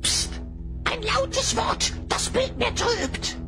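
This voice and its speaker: A woman voicing a quirky talking magic slingshot in a fantasy audio drama, speaking in oracular singsong rhymes with a creaky voice. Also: very high-pitched, extremely slow, exaggerated voice. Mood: scolding. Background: music.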